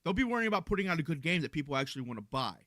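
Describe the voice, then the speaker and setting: Bright, dry-sounding man. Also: a little high, big pitch swings, rapid-fire, no room sound. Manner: hesitant, repeats himself. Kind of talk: casual argument.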